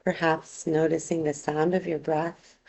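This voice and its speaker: A woman leads a guided relaxation as a yoga nidra teacher. Her voice sits fairly low and carries a strong creaky fry. She speaks very slowly and calmly, giving gentle instructions in unhurried phrases. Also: a little hiss or hum.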